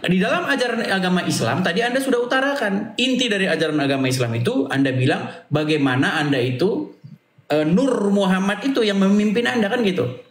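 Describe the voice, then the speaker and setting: High-pitched man, echoey sound. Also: expressive, a little fast. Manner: insistent, checks agreement with rhetorical questions. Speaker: debater leading a religious discussion. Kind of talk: informal religious debate.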